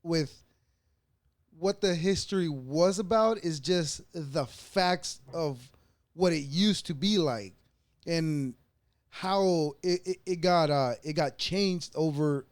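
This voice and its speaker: A man's voice: on the high side, slow, dry recording. A man from El Salvador hosting a casual interview, conversational with frequent restarts. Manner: conversational, restarts and laughs often. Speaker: podcast host.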